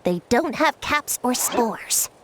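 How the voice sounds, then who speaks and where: A woman's voice: on the high side, expressive, slow, soft background music. A woman voicing a smug, scornful little mushroom creature in a video game, with a condescending, mocking manner. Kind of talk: cartoonish video game voice acting.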